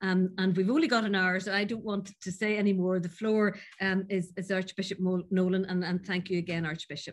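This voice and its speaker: Woman, head of school, formal welcome, halting, repeated words, video call. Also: medium pitch, level tone. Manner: warm but halting, with many repeated words. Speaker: head of school introducing a speaker. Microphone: computer mic on a video call.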